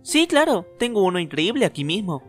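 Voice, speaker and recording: A man voicing a playful, teasing boy ghost in a fan dub, swinging from low murmurs to loud lines. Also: sing-song, fairly quick, exaggerated voice. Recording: faint anime music behind.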